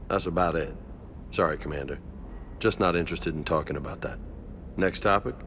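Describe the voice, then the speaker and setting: A young soldier in a science fiction game. His voice is low, with a brash, casual, slangy delivery. A faint engine hum sits behind him. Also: fairly quick, a bit bright. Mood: guarded. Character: cocky, easygoing young male space marine. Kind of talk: video game voice acting.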